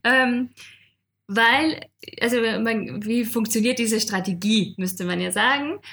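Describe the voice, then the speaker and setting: Woman, podcast co-host, higher voice, chatty, laughs often. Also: slow, pushed and bright. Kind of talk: casual podcast chat.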